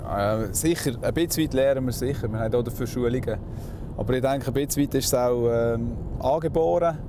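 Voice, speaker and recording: A man with a Swiss German accent talks as a highway police officer in a medium-pitched voice. He is polite and matter-of-fact as he explains his work. Vehicle noise is clearly heard around him on location. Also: fairly quick. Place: on location by a motorway.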